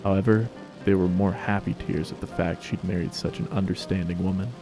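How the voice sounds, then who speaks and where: A man's voice: low voice, fairly even intonation, brisk, music in the background. A man narrating a story as a scripted dramatic reading.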